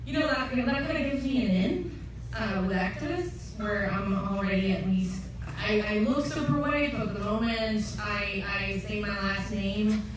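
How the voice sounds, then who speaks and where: A young woman from Costa Rica talks candidly on a panel, her conversational answer full of fillers. She is picked up from far back in a large, echoing room. A steady hum of noise sits under her voice. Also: medium pitch, unhurried. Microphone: table mic, recorded from the audience. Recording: echoey hall from far off, noisy.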